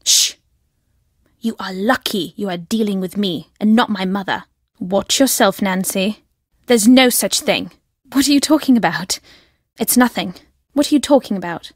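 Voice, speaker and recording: A woman's voice: mid-range voice, normal speed, pauses often, no echo, clean studio sound, lightly acted. An actress voicing a secretive woman in a video game who believes fervently in ancient aliens. She speaks in a curt, cryptic manner that turns fervent. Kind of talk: recorded game voice lines.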